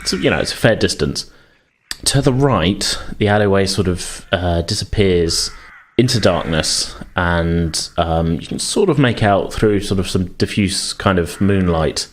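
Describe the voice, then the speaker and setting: A man with a fairly low voice runs a tabletop roleplaying game. He improvises his narration in hesitant fragments, circling and qualifying as he describes each scene. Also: a little slow. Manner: hesitant, heavily hedged, piecemeal phrasing. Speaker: game master.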